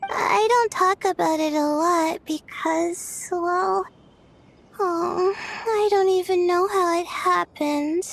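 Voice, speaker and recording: A shy, soft, sleepy student. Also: female voice, very high voice, slow pace, vocal fry, a little hiss or hum, heavily acted, rough recording. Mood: timid. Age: young adult. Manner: soft, hesitant, full of "uh"s. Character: shy, sleepy young woman student stargazer.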